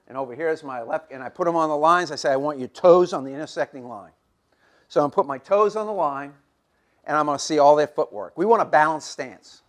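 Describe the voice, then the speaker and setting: Middle-aged man, line coach at a clinic; folksy, repetitive, fairly high voice, hoarse. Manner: folksy, repetitive, demonstrating as he talks. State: losing his voice, coughing.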